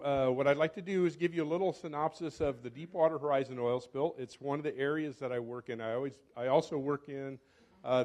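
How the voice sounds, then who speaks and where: An older man, a scientist, giving a casual talk at a club meeting, often correcting himself and pausing. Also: on the high side. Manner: chatty, self-correcting, fumbles with clicker. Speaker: guest speaker, scientist. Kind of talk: informal lecture. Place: meeting hall. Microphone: handheld or podium microphone.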